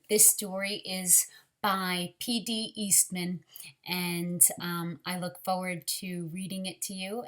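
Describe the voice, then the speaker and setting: A woman teacher leading a read-aloud storytime for young children, speaking deliberately and directly to them. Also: on the low side, pushed and bright.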